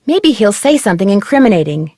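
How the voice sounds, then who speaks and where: A woman's voice: medium pitch, big pitch swings, fast talker, dark tone, dry recording, studio quality. A woman playing a level-headed, determined teenage girl detective in a video game, speaking in a composed, friendly, matter-of-fact way. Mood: focused, determined.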